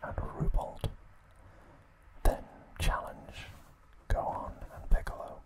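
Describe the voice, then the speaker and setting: Slow, creaky ASMR whisper. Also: low-quality recording. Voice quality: breathy unvoiced whisper. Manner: slow, hushed, unhurried delivery. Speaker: ASMR host recapping an anime series. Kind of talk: whispered ASMR trivia narration.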